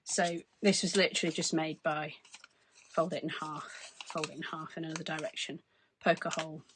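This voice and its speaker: A woman in her forties, hoarse from losing her voice, chatting through a craft demonstration at her desk. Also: deep voice, flat delivery, normal speed, background noise. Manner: chatty, explains as she works. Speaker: craft tutorial host. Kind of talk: casual step-by-step crafting demonstration. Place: craft desk indoors, overhead camera.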